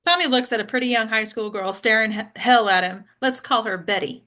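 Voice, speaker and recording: A woman reads screenplay stage directions aloud as a narrator. Her voice is on the high side and strikingly bright and crisp-edged. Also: brisk. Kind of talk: scripted narration at a table read.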